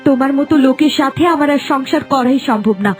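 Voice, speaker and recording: Woman voicing a very high-pitched scolding wife. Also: fast, over music, close to an everyday voice. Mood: disgusted, scolding. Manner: scolding, questioning. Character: sharp, scolding village wife with a conscience.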